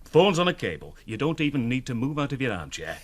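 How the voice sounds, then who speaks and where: A man's voice: medium pitch, very animated, quick pace. A man with a Northern English accent acting an eager, cajoling working-class betting organiser in a radio drama.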